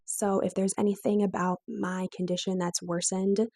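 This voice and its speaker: A woman's voice: mid-range voice, level tone, moderate pace, dark tone, faint music behind. A woman in her twenties with a weak, strained, breaking voice, telling her own story to camera in a candid, lightly self-mocking way. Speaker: vlogger.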